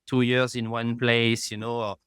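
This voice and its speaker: Middle-aged guy, smooth clear voice, dry sound, keeps saying you know. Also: mid-range voice, flat delivery, fairly bright tone, no echo. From France. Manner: halting, fills with "you know", rises in emphasis. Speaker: guest, lab founder. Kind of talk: remote conversational interview.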